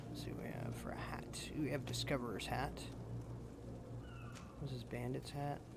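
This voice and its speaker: A man's voice: medium pitch, fairly even intonation, normal speed, creaky, heavy background noise. A man giving casual, laid-back gameplay commentary, thinking aloud as he plays. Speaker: gamer, host. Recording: loud game music behind voice.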